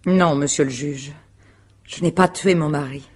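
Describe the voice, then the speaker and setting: A woman's voice: low-pitched, unhurried, a little hiss or hum. A woman playing a self-possessed suspect in a radio mystery, giving concise, guarded answers. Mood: guarded, composed. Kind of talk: French radio mystery drama acting.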